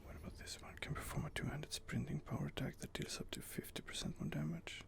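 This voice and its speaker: A man's voice: fairly high pitch, steady tone, quick pace, creaky. A man whispering casual gaming ASMR commentary while he reads out game options and muses over them. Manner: soft whispering, casual and musing.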